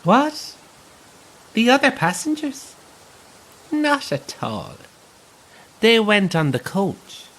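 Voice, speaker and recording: Possessed Irish coach guide, gleefully sinister, slow and swooping delivery, audio drama over vehicle noise. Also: male voice, sits high, wildly swooping pitch, fairly bright tone, heavily acted. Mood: mocking. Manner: theatrical, taunting.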